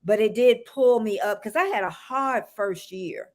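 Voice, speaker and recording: A fairly high-pitched woman hosting a relaxed online chat show. She is from the United States, talks in a chatty, easygoing way and laughs often. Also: measured pace. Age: older. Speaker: host. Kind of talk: casual live-stream chat.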